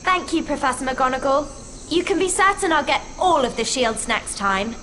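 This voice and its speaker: A woman playing a bright, earnest schoolgirl witch in a video game. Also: sits high, lively intonation, moderate pace, slight background noise. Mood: eager.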